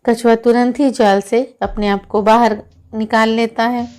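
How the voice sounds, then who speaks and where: Very slow-paced woman storyteller. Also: on the high side, level tone, a little mellow. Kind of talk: narrated children's moral story.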